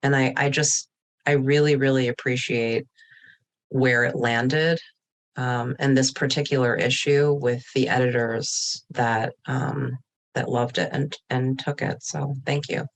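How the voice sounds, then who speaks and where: A woman's voice: very deep voice, fairly even intonation, unhurried, gravelly. A middle-aged woman, a poet, reflecting on personal matters in a candid, earnest and confiding way. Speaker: contributing poet.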